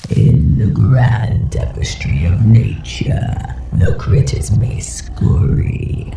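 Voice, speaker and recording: A woman voicing a menacing, cruel male insect king, with a gravelly whispered rasp run through layered creature effects, drawn-out and slow. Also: a bass voice, expressive, pitched below the performer's own voice, full character voice. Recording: roomy echo, distant, noisy.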